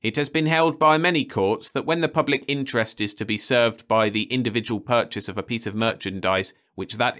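A man's voice: lowish voice, fairly bright tone, natural voice. A man voicing a stern, pompous older judge who lectures in a clipped, officious way.

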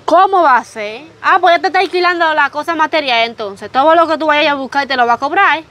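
A woman's voice: sits high, a little fast, fairly bright tone. A young woman acting as a friend who sighs and gossips sympathetically. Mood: sympathetic. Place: outdoors, rural yard by a hammock.

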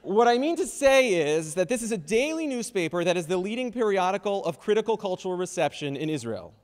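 A man's voice: sits high, very animated, brisk. Man, thirties, reading an intro, wry, lectern mic, long reverb. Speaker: event host introducing speakers. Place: large wood-panelled lecture hall.

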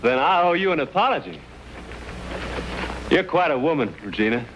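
Plain-spoken frontier hero, very noisy. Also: male voice, fairly high pitch, big pitch swings, moderate pace, muffled recording. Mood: genial. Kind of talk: 1950s television western acting. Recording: very noisy old TV soundtrack.

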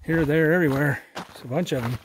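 A man's voice: a little high, fast, a little hiss or hum, rough recording. A middle-aged man chatting casually while he hikes an outdoor trail, pointing things out and easily impressed. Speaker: vlogger. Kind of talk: casual hiking commentary. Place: outdoors on a rocky desert trail.

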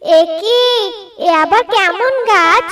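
A woman's voice: extremely high pitch, slow, a bit bright, soft background music, full character voice. A woman voicing a fiery, protective young village girl who argues back defiantly in a cartoon story. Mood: amazed, confused. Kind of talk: Bengali cartoon story acting.

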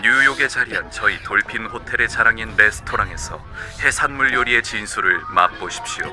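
A man's voice: fairly low pitch, fairly quick, over music, close to an everyday voice. A man in an amateur film dub, playing a hotel's promotional announcer with a polished, inviting sales delivery. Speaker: amateur voice actor.

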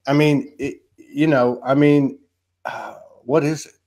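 A man's voice: fairly high pitch, flat delivery, unhurried, a little mellow. A man in his fifties hosting a casual spiritual chat over a video call. He rambles loosely and fills his talk with "you know" and "man". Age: 50s. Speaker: host. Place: home room, indoors.

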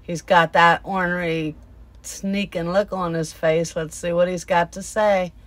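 A woman co-hosting a casual video channel, talking in an unhurried, teasing way. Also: on the low side, steady tone. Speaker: channel co-host. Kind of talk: casual channel intro and interjections.

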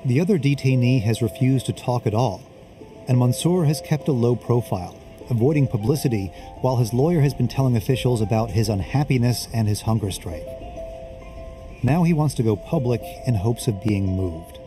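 A male reporter narrating a documentary voice-over in a measured, even manner. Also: mid-range voice. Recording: voice-over under music.

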